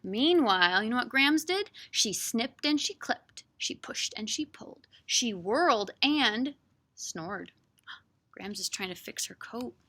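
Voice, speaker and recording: A woman hosting story time as a children's librarian, reading and chatting to young children in an animated, sing-song, encouraging way. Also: a little high, slow. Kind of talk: expressive read-aloud and chat for young children.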